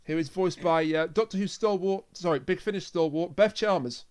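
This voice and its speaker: A man with a smooth, crisp voice sitting a little high in pitch. He talks casually, as a co-host in a relaxed review discussion. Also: fairly quick. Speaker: podcast co-host reviewing audio dramas.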